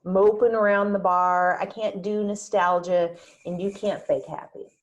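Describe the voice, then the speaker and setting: A woman acts a hard-bitten country mother who runs a bar. She is blunt and wry but protective, speaking in a middle-pitched voice. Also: fairly even intonation, a little slow, dull audio, natural voice. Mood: firm, resigned. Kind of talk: screenplay table read.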